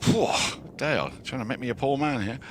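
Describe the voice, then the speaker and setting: A man's voice: mid-range voice, a little fast. A man doing live sports commentary with casual banter. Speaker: polo match commentator. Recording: clear background ambience noise.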